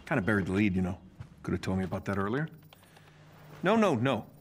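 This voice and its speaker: An actor playing a cocky, sarcastic genius superhero inventor who is bitter and guarded, talking in wisecracks in a video game cinematic. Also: male voice, lowish voice, sing-song, quick pace, slight background noise. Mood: wry, distracted.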